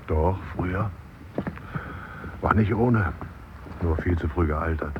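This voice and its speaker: A proper, deep-voiced mourner. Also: male voice, slow pace. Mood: pitying. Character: male mourner, proper, mildly critical, sympathetic. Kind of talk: German radio play dialogue. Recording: dull radio recording, clear background noise.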